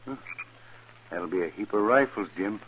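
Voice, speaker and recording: A man's voice: on the low side, normal speed, a bit bright, breathy edge. A man with a rural American accent playing a sly, folksy outlaw accomplice in a radio Western. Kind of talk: 1950s radio Western acting. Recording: muffled, hissy old radio recording.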